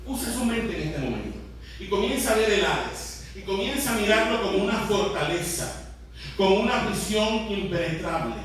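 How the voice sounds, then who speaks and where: A man's voice: high voice, lively intonation, normal speed. A man with a Puerto Rican Spanish accent preaching a teaching sermon as a pastor, often putting questions to the congregation. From Puerto Rico. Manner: interactive, putting questions to listeners. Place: large echoey room, church service. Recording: very echoey hall, distant, faint noise.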